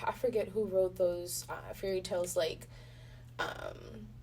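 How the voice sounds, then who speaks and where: A woman talking casually and informally as a guest in a relaxed interview. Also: fairly low pitch, unhurried. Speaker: voice actor guest. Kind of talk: casual impromptu interview chat. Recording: noisy room, distant mic.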